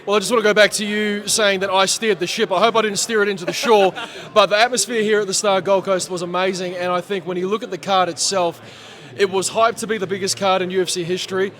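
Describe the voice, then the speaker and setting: Male MC, high-pitched, hoarse, animated guest in a sports bar chat. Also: quick pace. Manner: animated, chatty, laughs often. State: losing his voice. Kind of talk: post-fight reaction interview.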